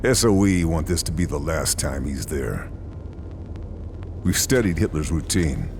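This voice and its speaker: Wartime intelligence officer, very low voice, grave, measured, scripted game briefing, faint rumbling noise behind. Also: male voice, sing-song, gravelly, a little mellow, heavily acted. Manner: measured, serious. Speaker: mission briefing officer narrator. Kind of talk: scripted military briefing narration.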